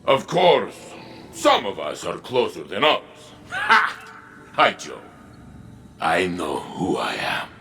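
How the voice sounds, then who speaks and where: A noble robot warrior from a video game. His low, gravelly voice swings expressively as he philosophizes in grand, formal phrases with proud self-assurance. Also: huge pitch swings, slow, pushed and bright, faint music behind, full character voice. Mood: proud, playful. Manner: grand, declamatory, formal phrasing without contractions. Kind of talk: scripted game dialogue.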